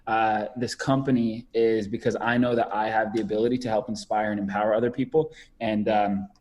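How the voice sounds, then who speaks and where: Low, flat-voiced young man rambling. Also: fairly quick. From Canada. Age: 20s. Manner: rambling with many fillers and repeated words. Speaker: podcast guest, entrepreneur. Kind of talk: casual interview over video call. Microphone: video call.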